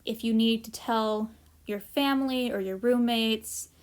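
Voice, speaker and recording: A woman's voice: on the high side, steady tone, a little slow, clean tone. A woman presenting an informal instructional workshop, explaining practical tips in a conversational way with casual asides.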